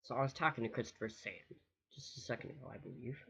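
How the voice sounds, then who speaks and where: Creaky-voiced man casually commenting on games. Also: mid-range voice, fast talker, pushed and bright. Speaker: gaming video host.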